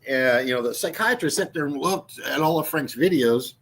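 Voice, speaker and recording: A man's voice: on the high side, lively intonation, normal speed, fairly bright tone. A man in his sixties talking casually on his channel, meandering with many fillers and restarts and mocking with laughs. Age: 60s. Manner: mocking, laughs at own jokes. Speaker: YouTube channel host. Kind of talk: rambling casual vlog talk.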